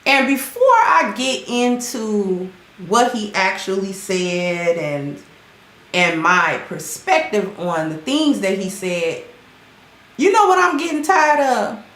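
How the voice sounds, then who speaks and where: Slow-talking hoarse woman host. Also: mid-range voice, big pitch swings, a bit bright. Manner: chatty, greets viewers by name. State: sounds hoarse. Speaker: channel host. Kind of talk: casual livestream commentary. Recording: roomy echo with faint music.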